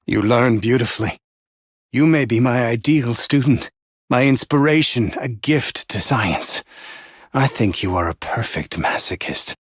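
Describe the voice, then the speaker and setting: A man playing a sinister, doting teacher in a video game, husky and intimate, with measured, admiring delivery. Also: mid-range voice, animated, no room sound, clean studio sound. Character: sinister, doting male teacher praising a favoured student. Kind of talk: scripted video game voice lines.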